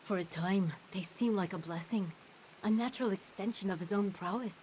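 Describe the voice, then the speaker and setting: A woman voicing a solemn, mournful fey herald in a fantasy game, speaking in a grave, measured, formal way. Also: medium pitch, darkish tone, a little hiss or hum, lightly acted, poor audio.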